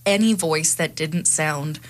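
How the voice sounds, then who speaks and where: A young woman co-hosting a radio show in a studio, chatting and announcing in an upbeat way. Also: sits low. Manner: chatty, upbeat, quick asides with laughs. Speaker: radio co-host. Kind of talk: casual radio chat and announcing. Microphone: studio microphone.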